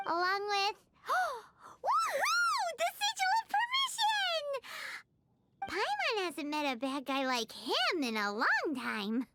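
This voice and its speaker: A woman voicing a tiny childlike talking fairy companion in a video game, squeaky, perky and nosy. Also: huge pitch swings, slow, very noisy, full character voice. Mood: excited, curious. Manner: speaks of self in third person, exclaiming. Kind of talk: English video game voice acting.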